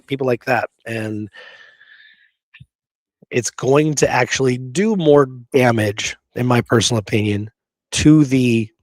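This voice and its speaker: Man, medium-pitched voice, history show host, informal, emphatic, opinionated, dry recording. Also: no room sound. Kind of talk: casual history discussion.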